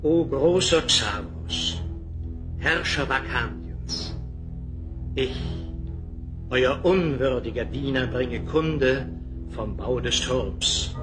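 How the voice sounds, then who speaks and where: A man's voice: medium pitch, very slow, long pauses, rough recording. An actor playing a servile slave overseer in a fantasy audio drama, addressing his master in an obsequious, ceremonious way. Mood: fawning. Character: servile overseer of slaves, fawning underling to a sorcerer. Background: music.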